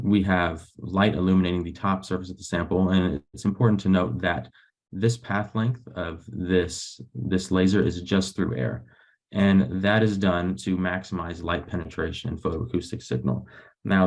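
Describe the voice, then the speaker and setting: A young man, a graduate researcher, giving a technical webinar presentation over a video call in an explanatory, somewhat hesitant way, with a low creaky voice. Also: darkish tone. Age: young adult.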